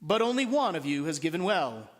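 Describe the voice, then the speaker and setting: A solemn old keeper of the flame, voiced by a man reading a storybook, speaks in a stately, kindly way. The pitch moves widely and the words ring through a reverberant hall. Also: big pitch swings, brisk. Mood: stately, proclaiming.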